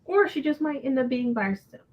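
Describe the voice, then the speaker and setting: A woman in her thirties co-hosting a casual book chat, relaxed and teasing. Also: high voice, fairly quick. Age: 30s. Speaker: co-host of a book livestream. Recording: dull lo-fi stream audio.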